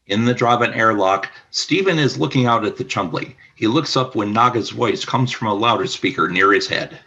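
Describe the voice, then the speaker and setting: A man's voice: fairly low pitch, moderate pace, pushed and bright, highs cut off. A man reading scripted narration for a radio play.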